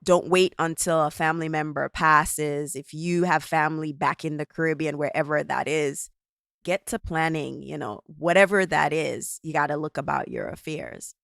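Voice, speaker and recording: A woman's voice: sits low, normal speed, creaky, fairly bright tone, no room sound, studio quality. A woman hosting a podcast interview, chatty and thinking aloud as she talks. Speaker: podcast host.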